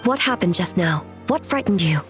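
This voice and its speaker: An actress voicing a composed, stern female shogun in an anime game, with measured, formal and authoritative speech. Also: fairly low pitch, lively intonation, soft background music, close to an everyday voice. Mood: concerned.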